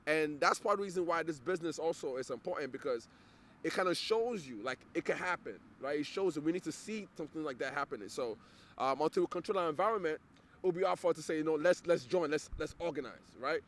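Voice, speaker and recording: Nigerian man, interview guest, a bit high-pitched, earnest and halting, repeats words. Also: animated. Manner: earnest, repeats words while searching for them. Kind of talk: casual interview conversation.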